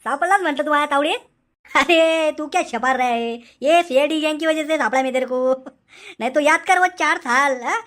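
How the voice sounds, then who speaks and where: A man acts out a cartoon tough guy in a comedy, with a very high-pitched voice. He is taunting and emphatic, hammering phrases by saying them again. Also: brisk, a bit bright. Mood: gloating, threatening. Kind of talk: Marathi comedy cartoon dialogue.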